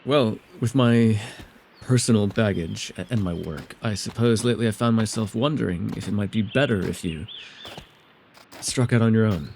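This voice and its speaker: Man playing a guarded, halting ancient elf recluse. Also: on the low side, vocal fry, a little mellow, a little hiss or hum. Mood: hesitant, reflective. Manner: halting, stammering, earnest. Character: reclusive centuries-old male elf, guarded, awkward, self-doubting. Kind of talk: fantasy ASMR audio roleplay.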